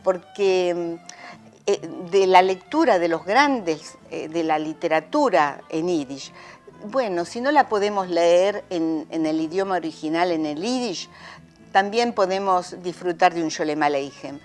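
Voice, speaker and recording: Hesitant low-voiced woman in an interview. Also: expressive, measured pace, soft background music. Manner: hesitant, with many fillers. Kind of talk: reflective interview talk.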